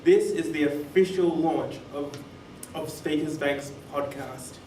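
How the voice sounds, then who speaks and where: Male moderator, slightly high voice, live panel podcast; roomy sound, off-mic. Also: slow pace, mellow. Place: conference room, live event. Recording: echoey room, distant mic, faint noise.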